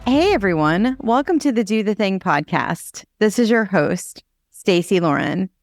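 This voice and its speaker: A woman's voice: mid-range voice, lively intonation, measured pace. A woman with an American accent hosting a podcast, speaking in an upbeat, enthusiastic way as she introduces and interviews. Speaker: podcast host.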